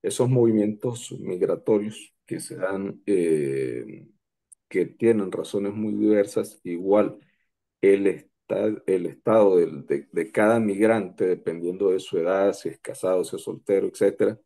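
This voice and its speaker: Man, forties, gravelly and low, slow and hesitant, conference remarks. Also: dark tone. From Colombia, raised in Venezuela. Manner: hesitant, many restarts. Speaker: conference participant. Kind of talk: slow, halting reflective remarks.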